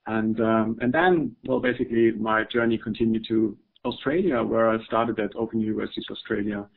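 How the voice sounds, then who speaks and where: A man's voice: lowish voice, little pitch movement, brisk, gravelly. A middle-aged man from Germany with a German accent, giving an academic webinar talk, hesitant, with many fillers and repeated words. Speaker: webinar presenter. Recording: phone-like webinar audio.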